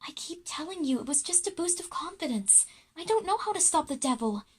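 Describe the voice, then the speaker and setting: A woman's voice: sits high, animated, brisk, pitched above the performer's own voice. A woman voicing an anxious, reluctant schoolgirl in a children's audio drama, hesitant and protesting. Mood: exasperated. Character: anxious, reluctant schoolgirl heroine, self-doubting.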